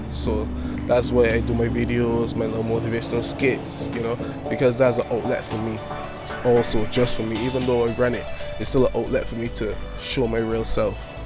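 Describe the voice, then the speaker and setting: A nineteen-year-old man, a youth media founder and poet, answering interview questions eagerly with many fillers. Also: mid-range voice. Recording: clear music playing behind.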